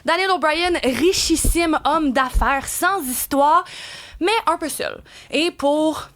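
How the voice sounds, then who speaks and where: A young woman with a Québécois accent telling a true crime story on the radio, playful and lively, and hushed for the grim details. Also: sits high, takes it slowly. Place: radio studio.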